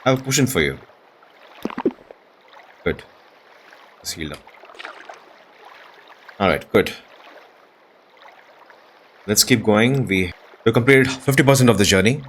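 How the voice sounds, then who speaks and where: A man's voice: on the low side, expressive, takes it slowly, long pauses, slight background noise. A man giving casual gameplay commentary, talking to himself and the game's characters with awestruck exclamations. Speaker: gaming video host.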